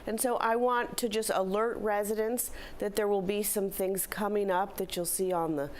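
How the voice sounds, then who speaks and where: A middle-aged woman, a philanthropy director, slightly hoarse, giving upbeat, chatty announcements in a studio interview. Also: medium pitch, steady tone, normal speed. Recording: faint background noise.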